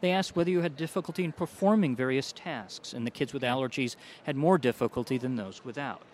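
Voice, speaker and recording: A man, a doctor, answering interview questions about a study in a measured, explanatory way. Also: mid-range voice. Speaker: doctor, allergy specialist.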